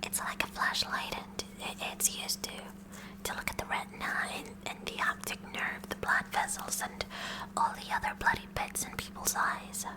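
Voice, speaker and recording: A woman playing a kooky, curious high school science club president in a whispered ASMR roleplay, softly and hesitantly chatty. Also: normal speed, lightly acted, poor audio. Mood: gently instructing. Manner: soft, hesitant, inquisitive, chatty.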